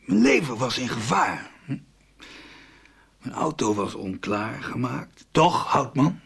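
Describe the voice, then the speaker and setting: An actor playing a sick, bitter old man in a radio soap, speaking with a husky, taunting, drawn-out delivery. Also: medium pitch, big pitch swings, fairly bright tone, full character voice. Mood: grave, confessing. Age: older. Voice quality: gravelly, husky. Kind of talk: 1990s radio soap acting.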